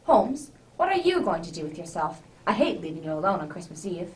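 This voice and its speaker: A woman playing a genial, easily flustered older English gentleman narrator in a radio drama, with avuncular, old-fashioned exclamations. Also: on the low side, big pitch swings, a little fast. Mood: flustered, good-natured. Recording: distant microphone.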